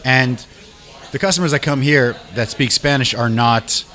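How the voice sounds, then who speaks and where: A man who grew up in Canada, hosting a relaxed live chat show from a bar, talking animatedly, swearing casually and agreeing quickly. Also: medium pitch. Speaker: host.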